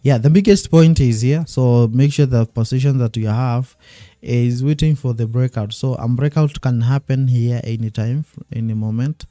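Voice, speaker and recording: A man streaming live trading commentary, thinking aloud and repeating himself while he watches the charts, his voice going a little hoarse. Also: medium pitch, moderate pace, dark tone, no room sound, clean studio sound, faint music behind. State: losing his voice.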